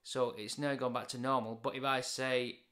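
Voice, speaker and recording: A man in his forties with a British accent talks through a product demonstration. His voice is mid-pitched. He explains each point informally and matter-of-factly, like a hobby reviewer. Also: level tone, moderate pace, fairly bright tone. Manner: plain, matter-of-fact explaining.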